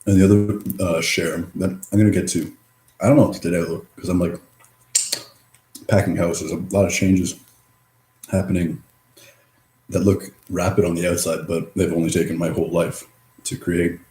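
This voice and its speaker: A man giving a rambling, reflective vlog monologue, mumbling and halting, with drifting pauses between phrases. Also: deep voice, level tone, mellow. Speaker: vlogger. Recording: roomy echo.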